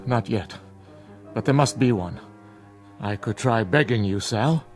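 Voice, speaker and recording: Stern, bitter older father, lowish voice. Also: expressive, a little slow, soft background music. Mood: grave, resolute. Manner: formal, dry, cutting. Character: stern, bitter ailing father, protective of his daughter. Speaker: actor in a television drama. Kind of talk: scripted TV drama acting.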